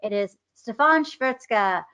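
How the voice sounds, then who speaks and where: A woman's voice: mid-range voice, expressive, slow, very noisy. A woman in her fifties hosting an interview show, bubbly and chatty. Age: 50s. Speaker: host. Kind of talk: casual interview chat.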